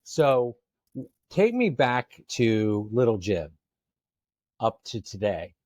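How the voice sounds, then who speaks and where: A man's voice: mid-range voice, very animated, takes it slowly, a little mellow, no echo, muffled recording. A man hosting an interview, speaking admiringly and often repeating words as he starts a thought. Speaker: podcast host. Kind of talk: casual interview chat.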